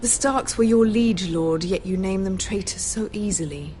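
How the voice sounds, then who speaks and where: An actress playing an imperious, scheming middle-aged queen regent in a fantasy video game, speaking in a measured, cutting, haughty way. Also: mid-range voice, mellow, natural voice. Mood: accusing suspicion. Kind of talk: dramatic video game voice acting. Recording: music behind the voice.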